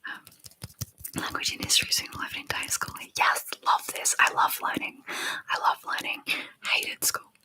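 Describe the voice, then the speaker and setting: A young woman whispering close to the microphone as an ASMR creator, reading chat in a giggly, unsure way and trying out foreign words. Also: measured pace.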